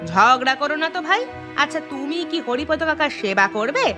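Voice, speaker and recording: A woman playing a gossipy, self-serving village neighbour in an animated moral story, with a pushy, theatrical, chatty manner. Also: sits high, fairly quick, over music.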